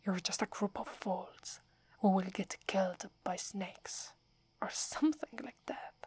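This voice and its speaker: A woman's voice: mid-range voice, a little slow, a little mellow, slightly rough. A woman with an Italian accent voicing a prickly, proud sixteen-year-old female werewolf in a whispered ASMR roleplay. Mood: scolding. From Italy. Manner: whispering, haughty and scolding.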